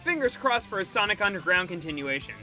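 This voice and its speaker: A man with a high, very bright and forceful voice reads a scripted review. He drops in snarky asides as he goes. Music plays behind his narration. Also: big pitch swings, quick pace. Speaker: video essayist and reviewer. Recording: background music under the voice.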